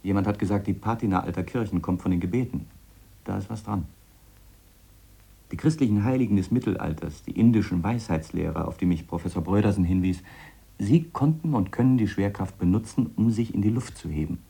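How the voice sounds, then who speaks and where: A man acting an eccentric, obsessed scientist who thinks aloud in a reflective, halting, explanatory way in a radio drama. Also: on the low side, creaky, dark tone. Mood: fervent, convinced. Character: obsessed eccentric male scientist, musing, earnest, self-doubting. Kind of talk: radio drama monologue in letter form.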